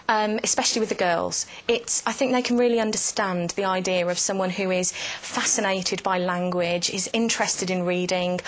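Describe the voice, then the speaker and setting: A woman's voice: lowish voice, a little hiss or hum, rough recording. A woman explaining things in an interview, as an educator.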